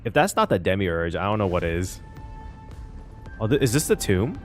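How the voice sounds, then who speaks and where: Streamer type: a lowish-voiced excitable man reacting to a game with music underneath. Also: normal speed. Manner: excitable, exclaiming, swearing, thinking aloud. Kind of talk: live game reaction commentary.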